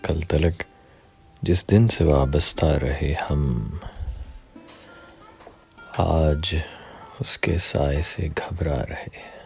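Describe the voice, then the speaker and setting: A man reciting poetry in a measured, deliberate, dramatic way, pausing between short phrases. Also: very deep voice, vocal fry, faint music behind.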